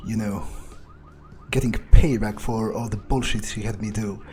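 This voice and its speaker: A man voicing an arrogant, menacing vampire predator in improvised video game roleplay, with a halting, smug, contemptuous drawl. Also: lowish voice, fairly even intonation, a little mellow, natural voice. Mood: gloating.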